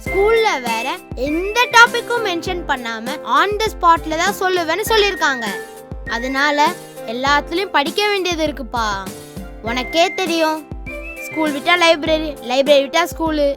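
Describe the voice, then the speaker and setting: Very high-pitched studious child. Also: female voice, expressive, moderate pace, over music. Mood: earnest, pleading. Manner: pleading, earnest, explaining. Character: studious, pleading older child coaxing a sibling. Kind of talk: children's animated series dubbing.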